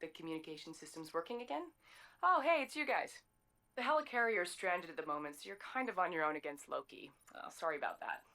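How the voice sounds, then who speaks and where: A game's female agent with a somewhat low voice. She is earnest and given to melodrama. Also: big pitch swings, fast talker, gravelly, pushed and bright, heavily acted. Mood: casual, apologetic. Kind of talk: video game cutscene dialogue.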